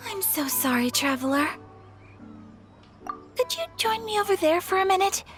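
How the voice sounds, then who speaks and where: A woman playing a polite, gentle young noblewoman in a video game, earnest and softly formal. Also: extremely high pitch, lively intonation, a little slow, faint music behind, full character voice. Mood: flustered, apologetic.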